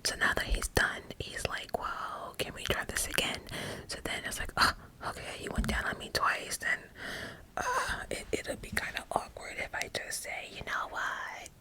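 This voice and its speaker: A woman's voice: normal speed, pushed and bright. Whispering woman in her forties. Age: 40s. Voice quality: unvoiced whisper throughout. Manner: candid, frank, laughs at herself, many asides. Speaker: YouTuber telling a story. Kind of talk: whispered ASMR storytime.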